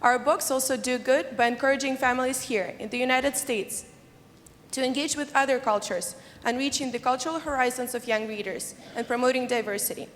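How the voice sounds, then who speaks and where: A young woman from Ukraine speaking as a student presenter, pitching a venture and answering questions. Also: fairly quick. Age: young adult. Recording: reverberant hall, faint noise.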